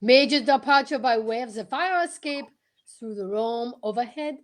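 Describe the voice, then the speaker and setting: A woman playing a pompous, courteous male detective, formal and deliberate, in old-time radio mystery style. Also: on the high side, close to an everyday voice. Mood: grave, accusing. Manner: formal, deliberate, theatrical questioning.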